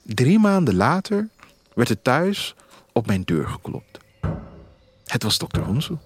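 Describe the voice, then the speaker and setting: A man narrating a scripted first-person story in a measured, literary way. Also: medium pitch, sing-song, husky. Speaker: author reading own story as narrator. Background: music.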